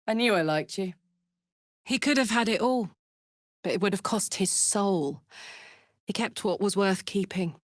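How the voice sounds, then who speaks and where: A woman acts out a tough tiefling warrior woman from a fantasy video game. She is brash and slangy but warm-hearted and sincere, and she delivers her lines at an unhurried pace. The sound is dry, with no room around it. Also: medium pitch, no room sound, natural voice. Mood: sincere, easygoing. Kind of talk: video game character voice acting.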